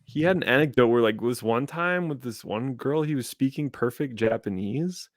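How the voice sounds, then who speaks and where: A man in his thirties, a school teacher, chatting as a podcast co-host and telling stories at a relaxed, drawn-out pace. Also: medium pitch, dry recording, clean studio sound. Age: 30s. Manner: relaxed, drawn-out storytelling, switching into Spanish. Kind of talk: casual chat.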